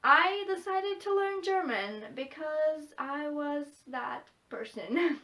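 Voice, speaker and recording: A young woman from Latvia, a native Russian speaker, chatting casually in a vlog and often pausing to search for words. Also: high voice, lively intonation, bright, forceful tone. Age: young adult. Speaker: language vlogger. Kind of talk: casual chat to camera answering questions.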